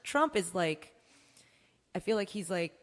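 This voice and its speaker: Female American co-host, lower register, outspoken and informal, long reverb around the voice. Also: lively intonation, a little slow. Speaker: podcast co-host. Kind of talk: casual political chat.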